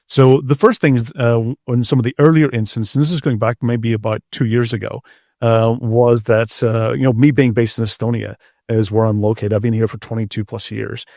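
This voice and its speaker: A man's voice: medium pitch, flat delivery, moderate pace, vocal fry, darkish tone, no echo, studio quality. A middle-aged man speaking as an expert guest, explaining things in a conversational interview. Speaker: expert guest, security researcher.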